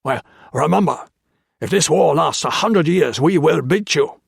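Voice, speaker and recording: A man's voice: a little high, animated, measured pace, gravelly, husky, dry recording, studio quality, pitched above the performer's own voice, exaggerated voice. A man doing a snarling, menacing prison-camp officer. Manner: snarling threats.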